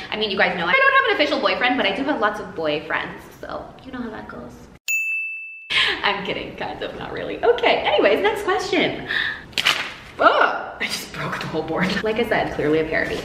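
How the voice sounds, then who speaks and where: A woman's voice: a little high, pushed and bright, recorded from a distance. Brief: young American woman, bubbly and jokey, swooping inflection, roomy echo. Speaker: YouTuber answering fan questions. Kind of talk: playful self-interview vlog. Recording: roomy echo, faint noise.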